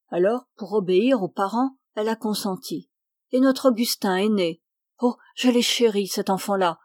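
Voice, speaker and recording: Woman putting on a loud old peasant servant. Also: a little high, no echo, clean studio sound, natural voice.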